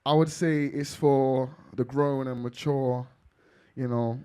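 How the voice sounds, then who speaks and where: Deep-voiced man, laid-back. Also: takes it slowly, smooth. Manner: relaxed, rambling, lots of fillers. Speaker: rapper guest. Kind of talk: casual interview chat.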